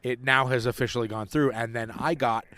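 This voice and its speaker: A young man co-hosting a casual podcast, chatty and quick to laugh, recorded outdoors on a porch. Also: medium pitch, fairly even intonation, sharp tone. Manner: chatty, laughs often, talks over his co-host. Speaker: podcast co-host. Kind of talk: casual chat.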